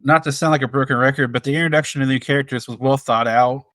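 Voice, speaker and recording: A man co-hosting a casual film discussion, speaking in a measured, appreciative and somewhat halting way. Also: mid-range voice, fairly even intonation, a bit bright, no room sound. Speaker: podcast co-host.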